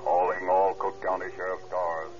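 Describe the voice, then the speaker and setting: An actor playing a stern police dispatcher in an old radio drama, announcing formally and tersely. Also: male voice, sits low, little pitch movement, normal speed, lightly acted. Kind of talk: 1930s police bulletin radio acting. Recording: muffled old radio, loud hum and noise.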